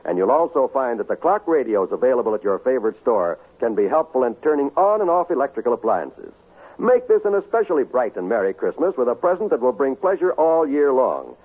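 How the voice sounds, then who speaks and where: An American man announcing and reading a scripted sales pitch in 1950s radio style. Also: very animated, fairly quick, breathy edge. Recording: muffled 1950s radio recording, faint music.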